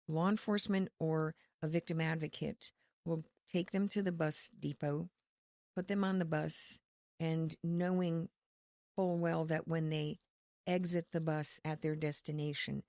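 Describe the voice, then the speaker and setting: A woman from Connecticut, a ministry leader, explaining her work in an earnest, faith-filled, measured way as a podcast guest. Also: deep voice, flat delivery, a little mellow, dry recording, clean studio sound. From Connecticut, USA. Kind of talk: podcast interview.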